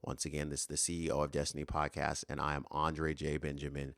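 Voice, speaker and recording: 30s guy with a super low smooth voice, solo podcast, kinda rambly and earnest. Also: completely flat delivery, fast, fairly bright tone, dry recording. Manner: earnest, rambling, with fillers and restarts. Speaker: podcast host. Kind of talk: solo motivational podcast monologue.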